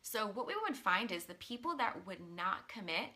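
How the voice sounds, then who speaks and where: A woman in her thirties, a coach, talking casually in a live stream, earnest and chatty with many ums, and a little hoarse from losing her voice. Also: mid-range voice, very animated, moderate pace, sharp tone. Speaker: mindset and business coach. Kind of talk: casual live-stream talk, reading viewer comments.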